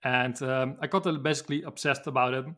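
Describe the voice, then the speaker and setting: Podcast guest, forties, Dutch accent, mid voice, halting casual delivery with fillers. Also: male voice, medium pitch, steady tone, pushed and bright. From Netherlands. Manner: hesitant, many fillers and restarts, laughs often. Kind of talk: casual interview answers.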